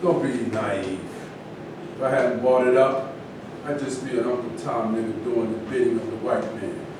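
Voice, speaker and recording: An outspoken, militant male athlete, acted by a man, speaks with scorn in a stage play. He is distant from the microphone in a heavily echoing room with a noticeable rumble. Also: steady tone, normal speed, natural voice. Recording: echoey room from far off, rumbling noise.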